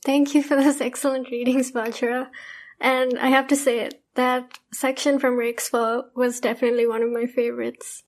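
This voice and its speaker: A woman's voice: sits high, level tone. A woman from Sri Lanka hosts the podcast, asking long, composed questions she has prepared in advance. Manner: measured and composed, delivering long framed questions. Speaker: podcast host and interviewer.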